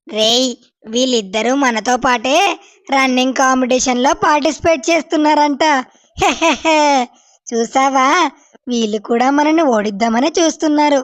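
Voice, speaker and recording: A woman voicing a cocky, taunting schoolchild rival in an animated children's moral story. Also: sits high, normal speed, natural voice. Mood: mocking.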